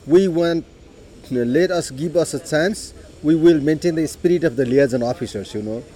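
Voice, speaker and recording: A middle-aged man with a Nepali accent, telling his life story in an unhurried way, with frequent "you know" and throat clearing. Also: on the high side, dark tone. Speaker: interviewee. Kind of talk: personal life story told in conversation.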